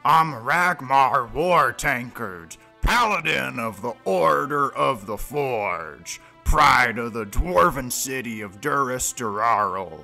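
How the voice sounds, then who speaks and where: Gravelly, bombastic dwarven warrior. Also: male voice, medium pitch, pushed and bright, heavily acted. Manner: bombastic, grandiose declaiming. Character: boastful, hot-tempered dwarven warrior paladin. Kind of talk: fantasy audio drama acting.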